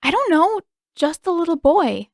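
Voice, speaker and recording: A woman's voice: extremely high pitch, very animated, normal speed, dry recording, clean studio sound, voice pushed up, exaggerated voice. A woman voicing an earnest, exasperated teenage girl in an audiobook story, speaking in an emphatic, matter-of-fact way. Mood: offhand. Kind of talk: audiobook character dialogue.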